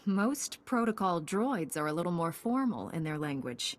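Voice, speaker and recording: A woman playing a calm, dutiful knight heroine in a video game, formal and self-assured. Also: lowish voice, moderate pace. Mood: composed, resolute. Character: composed, dutiful young female Jedi knight. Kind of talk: video game protagonist dialogue.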